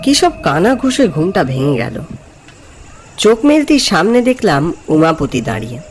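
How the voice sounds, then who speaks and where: Man acting as expressive young office junior, storytelling. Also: high-pitched, moderate pace, a little hiss or hum. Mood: calm storytelling. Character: curious, polite male office junior visiting a colleague, narrating his tale. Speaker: narrator and character. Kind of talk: dramatised horror story narration. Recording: music behind the voice. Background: background music.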